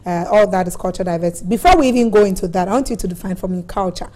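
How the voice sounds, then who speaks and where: A Ghanaian woman hosting a studio talk show, chatty and quick with murmured agreement. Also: medium pitch, dark tone. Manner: frequent murmured affirmations, chatty.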